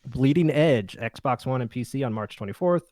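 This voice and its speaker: A man's voice: mid-range voice, expressive, normal speed, darkish tone, no room sound, studio quality. A man hosting a casual podcast, chatting with the group and reading through a list. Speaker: podcast host.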